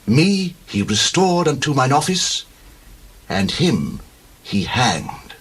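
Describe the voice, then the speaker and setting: An actor playing a contrite royal butler in a dramatized scripture reading, with a rough, husky voice and deliberate storytelling manner. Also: male voice, medium pitch, big pitch swings, a bit bright, heavily acted. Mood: contrite, recounting. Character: contrite male royal butler confessing his faults.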